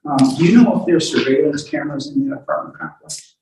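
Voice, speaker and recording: A man questioning a witness as a lawyer in court, in an informal and halting way. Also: a little high, sing-song, a little mellow, slight background noise. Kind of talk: courtroom cross-examination. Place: echoey courtroom, far from the mic. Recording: very echoey, distant courtroom audio.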